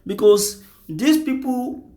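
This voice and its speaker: A man's voice: extremely high pitch, animated, takes it slowly, warm tone. A man with a Nigerian accent reading out news and giving impassioned commentary, repeating phrases for emphasis.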